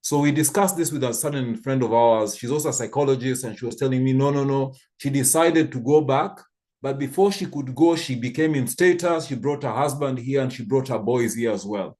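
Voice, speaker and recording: Man in his fifties, from Kenya, church panel talk, restarts often, mid-pitched voice. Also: brisk, darkish tone. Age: 50s. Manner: thoughtful storytelling with frequent restarts.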